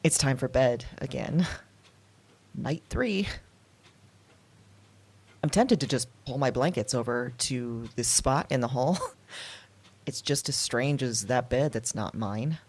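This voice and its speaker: A woman with a deep, husky voice speaks into her audio journal in a drama. She talks hesitantly and confessionally, often slipping into a whisper. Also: animated, slow pace, pauses often, darkish tone. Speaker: narrator keeping an audio journal.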